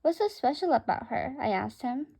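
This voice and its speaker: A woman's voice: high voice, very animated, moderate pace, gravelly, mellow, slightly rough. A woman reading a first-person story aloud, now and then stumbling and repeating a phrase.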